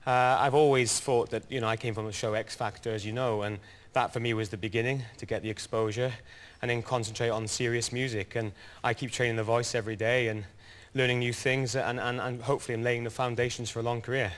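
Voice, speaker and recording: A man in his twenties, a singer, chatting as a guest in a TV studio in a relaxed, earnest way. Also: medium pitch, fast talker, few pauses. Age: 20s. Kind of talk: casual TV chat.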